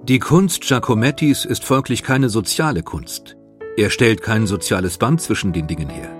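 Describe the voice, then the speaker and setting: A man reading scripted biographical narration in a measured, composed manner. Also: fairly low pitch, lively intonation. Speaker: documentary narrator. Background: music.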